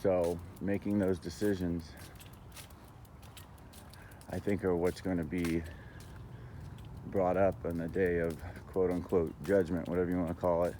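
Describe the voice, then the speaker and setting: A man talking in a casual, rambling monologue, halting but earnest and opinionated, as a vlogger. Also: low-pitched, little pitch movement, dark tone, slight background noise.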